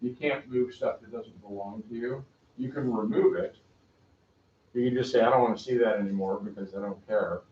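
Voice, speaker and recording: A man teaching a math class, giving loud, direct, brisk instructions to his students. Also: fairly low pitch, slight background noise. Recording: echoey classroom, dull lo-fi sound.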